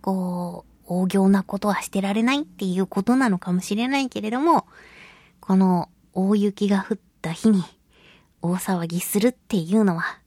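A woman's voice: medium pitch, normal speed, warm tone, no echo. A woman hosting a casual solo radio talk, chatting in a playful manner. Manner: playful, chatty, laughs often. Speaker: radio show host and voice actress.

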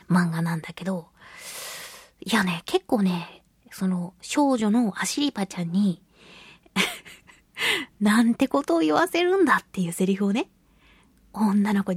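A woman's voice: medium pitch, slightly rough, dry recording. A woman hosting a casual solo radio show, chatting expressively and giggly as she reads and responds to listener mail. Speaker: radio show host, voice actress.

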